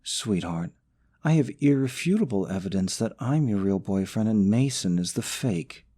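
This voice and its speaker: A man's voice: lowish voice, animated, moderate pace, mellow. A young man acting in an audio roleplay as a scheming, possessive boyfriend, falsely sweet and coaxing, snide about his rival. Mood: scheming, pleading.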